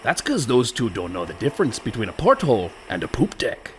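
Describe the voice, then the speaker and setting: An actor playing a sly, illiterate pirate ruffian in a comic radio play, with mock-jovial menace and rough, ungrammatical pirate talk. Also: male voice, medium pitch, huge pitch swings, fairly quick. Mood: scheming. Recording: clear background noise.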